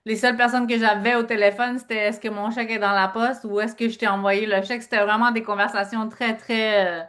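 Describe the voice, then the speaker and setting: A woman's voice: fairly high pitch, little pitch movement, fairly quick, a bit bright. Middle-aged quebec woman, québécois accent, earnest, chatty, lots of "tu sais". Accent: Québécois French. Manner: earnest storyteller, leans on filler phrases. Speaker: guest, speaker and author. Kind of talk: personal interview talk.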